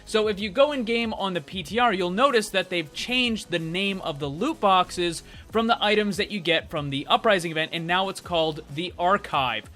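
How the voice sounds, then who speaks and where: A man's voice: sits high, normal speed, few pauses, pushed and bright. A man giving casual, conversational commentary as a video game news host, explaining his theories directly to viewers. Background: background music.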